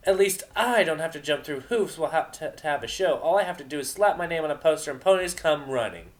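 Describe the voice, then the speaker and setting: A man's voice: fairly high pitch, lively intonation, fast talker, pitched above the performer's own voice, lightly acted. A man voicing a cocky young female unicorn DJ, brash and goading, in an amateur dramatic story reading. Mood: taunting.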